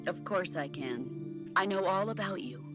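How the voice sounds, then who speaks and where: An actress playing a stern, composed veteran soldier and mentor in a video game, speaking with measured authority. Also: female voice, on the low side, animated. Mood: firm, grave. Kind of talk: dramatic video game voice acting. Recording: clear music behind.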